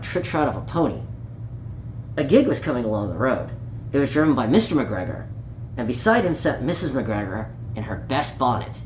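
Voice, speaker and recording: A person reading a children's story aloud in an animated, playful way, with small asides and stumbles over words. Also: medium pitch. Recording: echoey room with light background noise.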